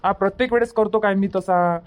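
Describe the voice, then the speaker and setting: A man's voice: sits high, steady tone, a little fast, slight background noise, lightly acted. Casting: male actor as village husband and dad, cartoon comedy, Varhadi Marathi accent, argumentative yet placating, repetitive. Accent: Varhadi Marathi from Vidarbha. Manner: colloquial, repeats himself to make his point.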